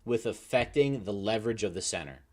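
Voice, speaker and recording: A man's voice: fairly low pitch, lively intonation, a little fast, darkish tone. A man on a sports talk show delivering commentary and updates as a panelist.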